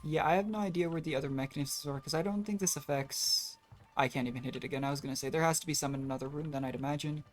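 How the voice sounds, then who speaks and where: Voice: medium pitch, racing. A game streamer giving casual live commentary, thinking aloud in an offhand way. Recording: music behind the voice. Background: game music.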